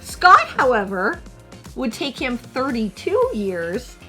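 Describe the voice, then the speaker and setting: A woman's voice: a little high, sing-song, slow, bright, forceful tone, soft background music. A woman in her forties co-hosting a casual chat, laying out figures and averages. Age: 40s. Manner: chatty, lays out figures and averages. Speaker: co-host of a retro gaming channel.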